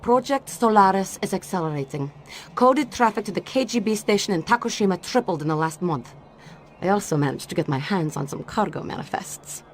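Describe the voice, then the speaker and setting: A woman's voice: medium pitch, lively intonation, brisk, slight background noise, close to an everyday voice. A woman playing a shrewd Soviet spy in a video game, speaking with a Russian accent in a guarded, wry way. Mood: brisk, cool. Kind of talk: video game cutscene voice acting.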